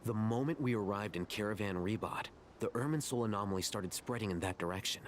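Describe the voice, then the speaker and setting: A man playing a cautious, analytical character in a video game, reasoning aloud in measured, formal sentences. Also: on the low side, slight background noise, lightly acted.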